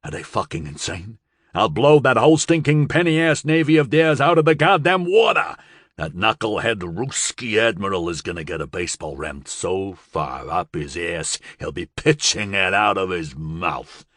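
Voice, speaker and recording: A man's voice: deep voice, lively intonation, moderate pace, a bit bright, no echo, studio quality. A man voicing a volatile, foul-mouthed gangster boss in an audiobook, with a blustering, menacing delivery. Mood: raging.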